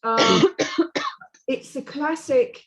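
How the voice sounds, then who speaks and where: A woman's voice: sits high, wildly swooping pitch, drawn-out words, fairly bright tone, faint music behind, rough recording. A woman on a script reading panel giving an unscripted, emphatic and blunt critique in discussion.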